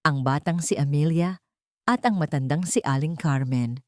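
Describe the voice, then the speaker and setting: A woman narrating a dramatic audio story in a steady, dramatic storytelling manner. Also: low voice, moderate pace, dry recording, clean studio sound.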